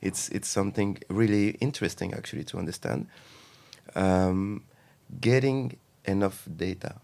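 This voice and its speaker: A man from Morocco with a notably deep, low voice speaks as a guest on an interview podcast. He explains his advisory work in a relaxed, thoughtful way. His talk is full of fillers and restarts as he works through each idea. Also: normal speed, creaky.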